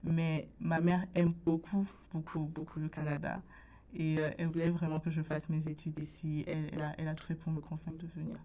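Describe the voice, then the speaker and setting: A young woman, a guest on a studio radio interview, soft-spoken and sometimes searching for words. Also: low-pitched, flat delivery, a little fast. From Burkina Faso. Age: late teens.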